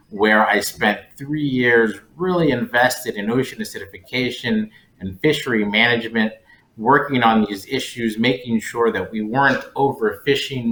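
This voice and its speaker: A man's voice: medium pitch, a bit bright. A man speaking conversationally as a panelist. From Colombia-born, raised in West Virginia, United States. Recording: roomy, echoey sound.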